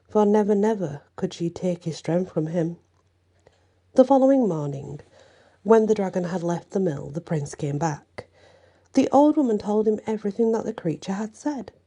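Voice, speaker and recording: A woman telling a fairy tale in a gentle, measured storybook reading, slipping into hushed passages. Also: lowish voice.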